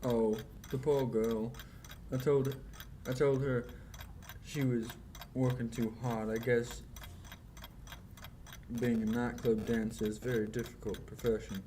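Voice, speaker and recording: A courteous, fussy middle-aged shopkeeper acted by a young man in an old-time radio play. His medium-pitched voice is hesitant and deferential, with clocks ticking and faint noise behind. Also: flat delivery, measured pace, warm tone, natural voice. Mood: sympathetic, chatty. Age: young adult. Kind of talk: 1940s radio acting.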